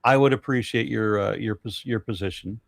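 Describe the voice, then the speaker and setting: A man hosting a casual panel discussion, halting and repeating words as he thinks. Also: lowish voice, gravelly, dry recording. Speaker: host.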